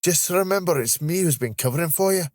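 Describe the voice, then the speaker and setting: Storybook role: male reader as a cheeky, teasing Scotsman in his thirties, pitched up, dry recording. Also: lively intonation, brisk, no room sound, lightly acted. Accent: Scottish. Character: playful teasing Scottish man in his thirties.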